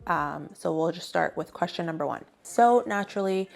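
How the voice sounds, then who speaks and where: A woman's voice: lowish voice, lively intonation, normal speed, gravelly. A young adult woman hosting an advice video, talking casually and conversationally to viewers with emphasis on key points. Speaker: video host, graduate student giving advice.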